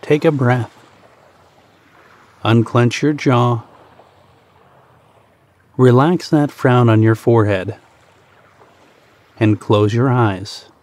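Guy doing guided meditation, really slow and soft-edged mellow voice, soothing, leaves gaps between phrases. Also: mid-range voice, steady tone. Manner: soothing, deliberate, with short pauses between phrases.

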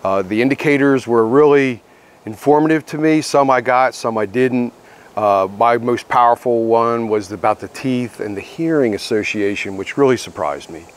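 A man's voice: mid-range voice, moderate pace. A man in his sixties, a residential trainer, speaking plainly and reflectively outdoors on a lapel mic. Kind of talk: testimonial interview. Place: outdoors by a log cabin.

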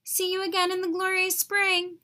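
A woman's voice: very high-pitched, completely flat delivery, moderate pace, pushed and bright, pitched above the performer's own voice. A woman voicing a cheerful little female bird schoolteacher in a storybook, chirpy, emphatic and encouraging. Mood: cheerful farewell. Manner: chirpy, sing-song, emphatic. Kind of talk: children's storybook character voice.

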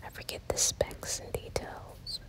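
Woman, whisper-only ASMR host, very slow, lingering delivery, swooping whispered phrasing. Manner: soft unvoiced whisper, slow and admiring. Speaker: ASMR house tour host. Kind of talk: whispered ASMR house tour. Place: inside an empty house, walking through rooms. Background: rain sounds.

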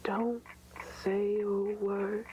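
A woman playing an eerie motherly figure in a horror animation, crooning a lullaby in a hushed, drawn-out, breathy whisper. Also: medium pitch, little pitch movement. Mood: eerie, soothing. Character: eerie motherly female singer of a creepy lullaby. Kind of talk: slow sung-spoken lullaby in horror animation. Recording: noisy lo-fi horror tape with clicks.

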